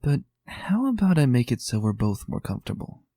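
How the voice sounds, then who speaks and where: A man voicing a kind but anxious young female unicorn who speaks gently and hesitantly. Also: medium pitch, sing-song, brisk, noisy background, pitched above the performer's own voice. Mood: gentle.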